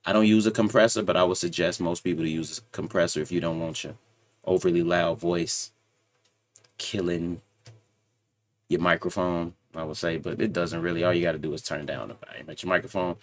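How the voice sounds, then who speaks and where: A man with a very deep, low voice talks casually into a desktop microphone at his home computer setup. He is self-assured and rambles, trailing off and starting over as he goes. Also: steady tone, a little fast. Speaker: channel host reviewing microphones. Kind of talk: casual tech review talk. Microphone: desktop USB microphone.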